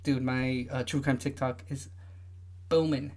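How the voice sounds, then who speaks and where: A young man with a light, high voice that listeners often mistake for a woman's. He hosts a relaxed livestream, chatting loosely and filling gaps with lots of "uh"s and restarts. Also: a little slow. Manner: rambling, many filler sounds and restarts. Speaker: livestream host. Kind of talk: casual livestream chat.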